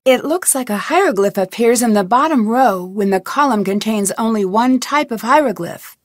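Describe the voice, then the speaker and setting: A determined young woman detective muses aloud over clues and puzzles in a game. Her voice sits a little high and bright. The sound is close and dry, with no room around it. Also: animated, moderate pace, no echo. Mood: curious. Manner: thinking aloud, inquisitive. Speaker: game heroine. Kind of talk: game voice lines.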